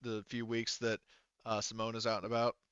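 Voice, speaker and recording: A man with a low, clean voice runs a tabletop game as game master. He rambles casually and thinks aloud, and the audio is very dry and slightly dull. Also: monotone, a little fast, fairly bright tone, clean tone, no room sound. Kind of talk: casual tabletop game narration over voice chat. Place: online voice call.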